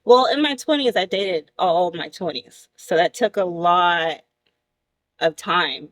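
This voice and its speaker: A woman's voice: fairly low pitch, animated, slow. Woman host musing about herself. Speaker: talk show host. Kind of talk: casual chat.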